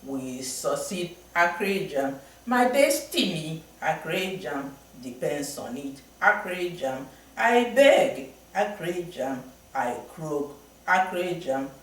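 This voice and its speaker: A woman's voice: low voice, lively intonation, takes it slowly. A man voicing a tiny long-legged female frog who chants a pleading song. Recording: very echoey room, from far off.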